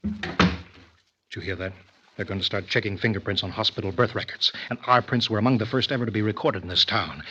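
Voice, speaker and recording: An actor playing a smooth, domineering killer scientist in an old-time radio thriller, with an assured, commanding manner. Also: male voice, fairly low pitch, a little fast, sharp tone, slight background noise. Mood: cold, determined. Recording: muffled vintage radio recording.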